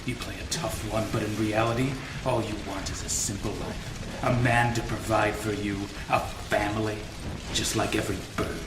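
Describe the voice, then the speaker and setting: A man's voice: fairly low pitch, steady tone, normal speed, natural voice. Sneering old cult villain, distant and echoey male actor. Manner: condescending. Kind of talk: noir audio drama acting. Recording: distant, echoey, very noisy recording.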